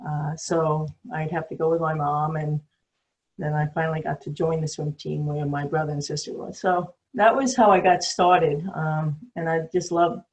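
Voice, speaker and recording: A woman's voice: sits low, level tone, moderate pace, darkish tone, rough recording. An older woman from New York City with a New York accent, telling her story as a guest on a call, with many ums and restarts. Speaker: guest swimmer. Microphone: video call.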